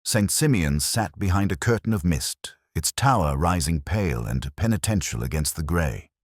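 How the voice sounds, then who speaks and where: Brooding middle-aged detective, extremely low voice, expressive rise and fall, measured literary radio drama narration, wry, melancholic. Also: male voice, dry recording, clean studio sound. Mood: brooding, reflective. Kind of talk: scripted first-person radio drama narration.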